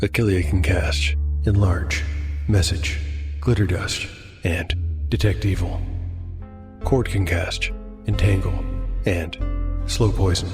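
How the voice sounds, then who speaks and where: A man with a low voice narrates dark fantasy in a measured, deliberate way. He works as storyteller and game master of a solo tabletop tale, with clear music behind him. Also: fairly even intonation.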